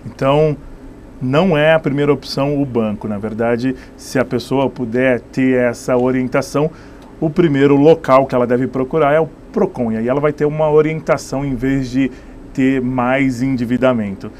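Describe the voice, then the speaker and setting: A man's voice: mid-range voice, fairly even intonation, normal speed, a little mellow, slight background noise. A man hosting a local TV studio show, who asks leading questions and sums up his guest's answers before cutting to a break. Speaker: TV show host.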